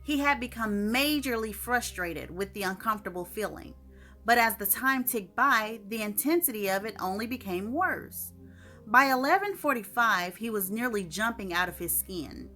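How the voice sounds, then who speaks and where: Woman reading a short story, bright crisp delivery, music underneath. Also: medium pitch. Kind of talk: expressive storytelling narration.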